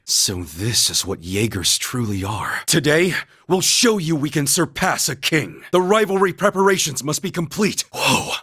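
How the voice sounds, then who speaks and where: An actor playing an earnest, steadfast young warrior-knight in a video game, speaking in a solemn, measured, formal manner. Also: male voice, medium pitch, fairly bright tone, breathy edge, no room sound, clean studio sound, full character voice. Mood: resolute, then urgent, awed. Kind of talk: dramatic English video game voice acting.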